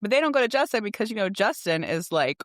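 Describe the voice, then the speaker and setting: A woman's voice: mid-range voice, fast, no echo, studio quality. A middle-aged woman co-hosting a podcast, casually telling family stories and acting out the dialogue. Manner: animated storytelling, acts out dialogue. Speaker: podcast co-host. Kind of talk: casual chatty parenting podcast talk.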